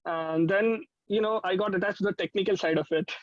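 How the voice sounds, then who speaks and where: A young man with an Indian accent talks in a high voice, casual and rambling with plenty of enthusiasm. The sound is dry, close and a little dull. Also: fairly quick, dry recording. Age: young adult. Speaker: musician guest. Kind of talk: casual chat, reminiscing.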